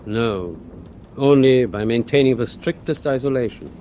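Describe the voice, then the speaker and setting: An actor playing a deferential ship's doctor in a wartime radio drama, speaking in a formal, measured, respectful way. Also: male voice, medium pitch, muffled recording, slight background noise, natural voice. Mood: formal, grave. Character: deferential, grave ship's doctor on German tanker.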